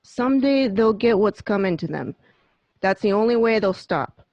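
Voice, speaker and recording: A woman's voice: mid-range voice, lively intonation, moderate pace, a little mellow, no room sound, natural voice. A woman playing a put-upon, brusque mob boss in an amateur radio play. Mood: exasperated, resigned. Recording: muffled home internet recording.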